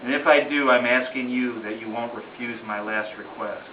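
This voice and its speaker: A freezing old-time gold prospector, acted out by a man reciting a ballad, speaks in a plaintive, folksy drawl of drawn-out words. The recording is roomy and slightly dull, heard from some way off. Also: mid-range voice, fairly even intonation, lightly acted. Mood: moaning, pleading. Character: freezing, dying gold prospector pleading his last wish. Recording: roomy distant muffled home recording, faint noise.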